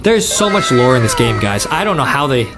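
A young man hosting a gaming video with excited, repetitive commentary, talking straight to his viewers. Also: medium pitch, brisk. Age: young adult. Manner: animated, repetitive, addressing viewers. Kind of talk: excited let's-play commentary. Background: game music.

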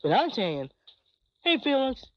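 Excitable cartoon character, played by a woman: high, swooping pitch, lo-fi noisy sound. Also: measured pace. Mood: chattering. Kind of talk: cartoon dialogue. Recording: muffled lo-fi audio, loud noise.

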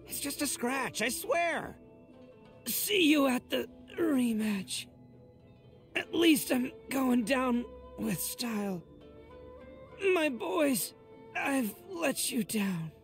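A man's voice: extremely high pitch, lively intonation, extremely slow, gravelly, pauses often, faint music behind, full character voice. A man voicing a brash, boisterous young oni gang boss in a video game, cocky and theatrical with slangy showboating. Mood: strained, defiant. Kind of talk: animated video game character voice lines.

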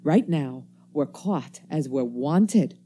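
A woman's voice: sits low, expressive, slow, dark tone, a little hiss or hum. A woman acting a wary, embittered survivor in a radio play, with folksy, slangy, cynical speech. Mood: scheming, scornful. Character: wary, embittered survivor stranger armed with a knife.

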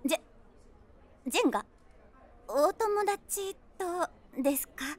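An eager young woman trying to charm her date in a comic audio drama. Her voice is high and cutesy. She talks politely, keen to draw the other person out. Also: big pitch swings, unhurried, heavily acted. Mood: eager, probing. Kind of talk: comedy audio drama dialogue.

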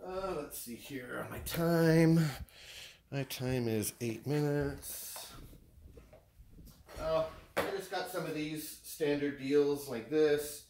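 A man with an American accent chatting casually and haltingly, thinking aloud as he shows off purchases in a living room. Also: a little high, background noise. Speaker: vlogger showing purchases. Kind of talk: casual unboxing chat.

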